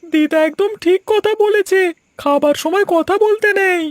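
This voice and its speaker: A woman's voice: very high-pitched, moderate pace, darkish tone, no echo, clean studio sound. A woman voicing an innocent, polite young village schoolchild in a cartoon, with a childlike manner. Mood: agreeable.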